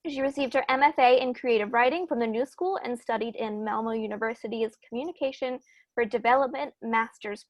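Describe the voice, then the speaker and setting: High-pitched, creaky-voiced woman hosting. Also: no room sound, low-quality recording. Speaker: bookstore event host. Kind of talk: introducing a virtual author event. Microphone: video call.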